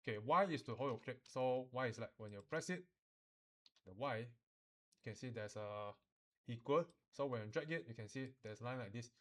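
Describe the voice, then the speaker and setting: A man teaching software informally, code-switching and often checking that students follow. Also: mid-range voice, moderate pace. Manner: instructional, often checks understanding, uses particles like lah. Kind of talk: informal software tutorial mixing English and Mandarin.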